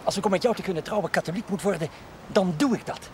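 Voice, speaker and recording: An actor playing a playful, ardent young suitor in a television drama, teasing and eagerly insistent. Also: male voice, high-pitched, fast, background noise, lightly acted. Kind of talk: 1970s Dutch television drama acting.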